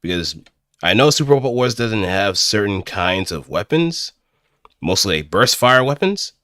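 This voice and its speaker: A low, deep male voice with a very bright, forceful edge, recorded close and dry. He talks like a casual streamer, working out his thoughts aloud and making things up as he goes. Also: very animated, measured pace, clear, resonant tone, no echo. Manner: hesitant, thinking aloud, improvising as he goes. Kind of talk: casual rambling livestream commentary.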